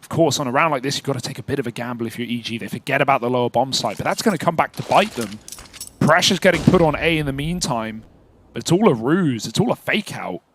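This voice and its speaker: A man giving live esports play-by-play and analysis in an animated, emphatic way. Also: mid-range voice, fast, a little hiss or hum. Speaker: esports commentator.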